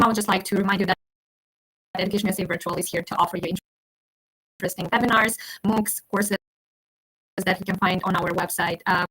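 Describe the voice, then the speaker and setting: A woman's voice: medium pitch, a bit bright, slightly rough, poor audio. A woman education advisor hosting a live webinar, friendly and talking with many fillers and restarts.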